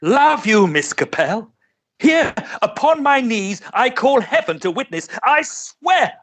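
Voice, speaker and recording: An actor playing a scheming middle-aged gentleman suitor in radio melodrama, florid, obsequious and overwrought. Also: very high-pitched, big pitch swings, takes it slowly, full character voice. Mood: pleading, ardent. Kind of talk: old-style radio theatre melodrama acting.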